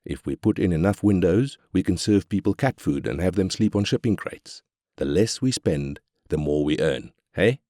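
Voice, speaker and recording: A very deep, dry-recorded South African corporate chairman from a strategy game. He is brash and money-minded, talking with cynical swagger. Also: expressive, moderate pace, warm tone, dry recording. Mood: brash, scheming. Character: brash, profit-hungry male corporate chairman. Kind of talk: scripted game character voice lines.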